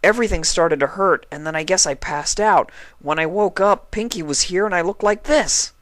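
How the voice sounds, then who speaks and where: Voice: medium pitch, moderate pace, fairly bright tone, no echo, pitched above the performer's own voice, lightly acted. A performer voicing a sheepish, earnest young male cartoon pony in a hesitant, boyish manner. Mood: confused, apologetic.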